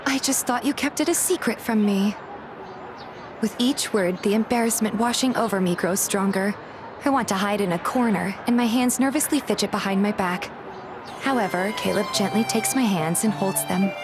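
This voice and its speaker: A woman acting an affectionate young heroine, loud music. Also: mid-range voice, normal speed, lightly acted. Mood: embarrassed, flustered. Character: affectionate, playful, bashful young heroine narrating her romance. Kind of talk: scripted first-person story narration and game dialogue.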